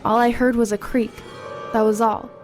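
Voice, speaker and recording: A woman's voice: a little high, level tone, normal speed, darkish tone, lightly acted. A woman playing an anxious, defiant teenage girl in an audio horror drama. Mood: uneasy narrating. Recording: background noise and music under voice.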